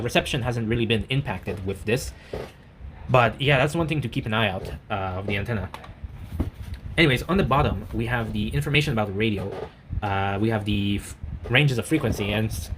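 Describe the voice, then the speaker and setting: A man giving a casual, unscripted product review, speaking haltingly and rambling with frequent self-corrections. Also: medium pitch, fairly bright tone, slight background noise.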